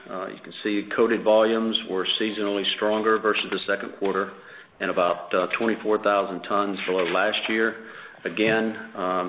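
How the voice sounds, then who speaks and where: A man speaking as a finance executive, giving a formal, businesslike scripted earnings presentation over a conference phone line. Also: fairly low pitch. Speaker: chief financial officer presenting results. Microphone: conference call phone line.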